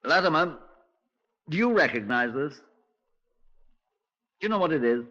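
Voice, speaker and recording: A man's voice: a little high, animated, normal speed, bright, forceful tone. A man playing a courteous but insistent detective who questions pointedly in a 1950s radio drama. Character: probing, courteous Scotland Yard detective, quietly insistent. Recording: dull 1950s radio recording.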